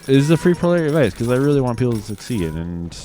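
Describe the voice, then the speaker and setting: A man's voice: medium pitch, big pitch swings, very fast, dark tone. A man with a Midwestern American accent, a bit drunk, rambling and reflective as he hosts a casual gaming chat, swearing casually. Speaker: gaming podcast host.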